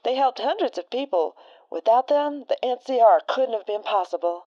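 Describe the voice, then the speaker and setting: A woman's voice: a little high, animated, normal speed, mellow, no room sound, highs cut off, close to an everyday voice. A woman playing a folksy, friendly radio co-host in an audio drama, with homespun phrasing. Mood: admiring.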